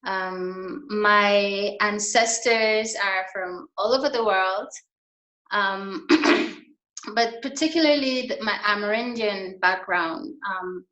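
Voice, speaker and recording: Slow-spoken woman from Guyana, echoey room. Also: medium pitch, sharp tone. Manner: slow, thoughtful, many ums. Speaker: guest, fashion designer. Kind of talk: online video interview. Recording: echoey room over video call.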